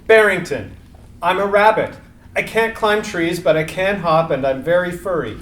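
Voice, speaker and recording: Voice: mid-range voice, moderate pace, fairly bright tone, slight background noise, close to an everyday voice. An actor playing a small, naive baby rabbit who is lonely, hopeful and politely earnest. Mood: shy, hopeful. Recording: echoey room.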